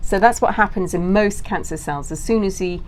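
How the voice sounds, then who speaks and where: A woman's voice: medium pitch, normal speed, slight background noise, poor audio. A middle-aged British woman talking as an interview guest, explaining things informally in long, self-interrupting sentences.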